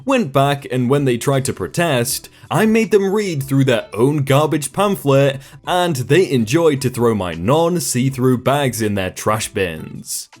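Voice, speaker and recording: Guy reading reddit stories out loud, bouncy lively delivery, quiet music in the back. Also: medium pitch, unhurried, hardly any pauses. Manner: lively storytelling reading with short comments between stories. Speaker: narrator reading reddit posts. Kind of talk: scripted story narration.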